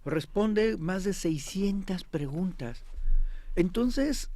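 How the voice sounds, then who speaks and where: A man's voice: high voice, lively intonation, slow pace, dark tone. A man speaking as an expert guest in a studio interview, explaining with emphasis and often checking that the listener follows. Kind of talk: interview commentary on politics.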